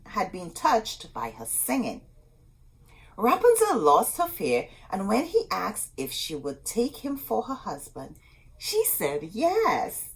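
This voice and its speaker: A woman storyteller reading a storybook aloud expressively, in short dramatic phrases with pauses. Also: medium pitch, husky, rough recording.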